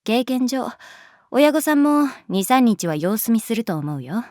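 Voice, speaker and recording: A woman's voice: on the high side, big pitch swings, a little slow, darkish tone, no room sound, clean studio sound. A woman playing a laid-back adult schoolteacher in a Japanese voice drama, talking in a casual, nonchalant, teasing way. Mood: calm, probing. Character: wry, laid-back adult female schoolteacher, quietly indulgent. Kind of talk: Japanese voice drama acting, natural dialogue.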